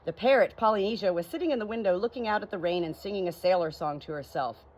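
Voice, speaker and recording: A woman narrating a children's audiobook in an expressive storybook reading style. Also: mid-range voice, fast, slight background noise.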